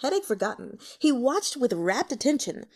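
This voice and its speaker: Lady reading a fantasy novel out loud, dramatic storytelling, really animated pitch. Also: normal speed. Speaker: audiobook reader. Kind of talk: expressive scripted narration of a fantasy novel.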